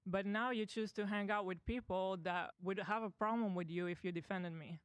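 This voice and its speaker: A man's voice: sits high, normal speed, fairly bright tone, clean tone, no room sound. A man on a podcast, speaking in an earnest, aggrieved way. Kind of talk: podcast chat.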